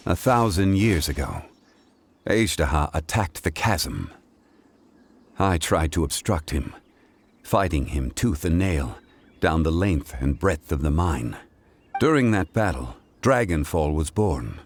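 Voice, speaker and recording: A man playing a calm ancient god in human guise in a video game, speaking in a measured, formal way. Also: rumbling low, slight background noise, full character voice. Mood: solemn, reflective. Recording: music playing behind the voice.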